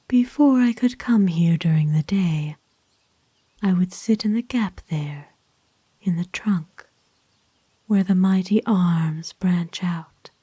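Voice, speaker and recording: A woman voicing a kind, doting aristocratic vampire in an ASMR roleplay, speaking formally and tenderly in a soft, breathy whisper. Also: on the low side, no room sound. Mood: wistful, fond. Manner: formal, genteel, tender, unhurried. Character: kind, doting aristocratic female vampire owner, gently possessive.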